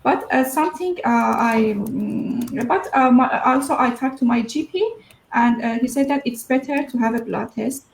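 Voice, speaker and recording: Gravelly woman, roomy echo. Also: on the high side, moderate pace. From Iran. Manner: hesitant, many fillers. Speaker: guest. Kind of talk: personal story in an interview.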